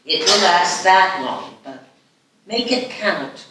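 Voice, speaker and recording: A gentle old grandmother with a lively, swooping delivery, acted by a woman at a live table read. She is measured and playful, heard from a distance with strong echo and clear background noise. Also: fairly low pitch. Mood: solemn, patient. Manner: measured, instructive. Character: gentle grandmother casting a love spell, patient, playful. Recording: very echoey room, distant mic, background noise.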